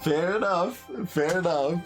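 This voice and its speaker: A man's voice: high voice, unhurried, soft background music. A man giving casual commentary on a game playthrough, musing and thinking aloud as he goes.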